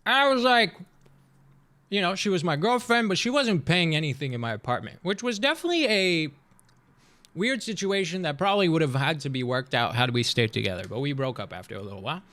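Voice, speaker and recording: A man in his thirties chatting casually on a live stream, rambling and joking as he reacts to a video, his voice swinging between loud and soft. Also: a little high, sing-song, fairly quick.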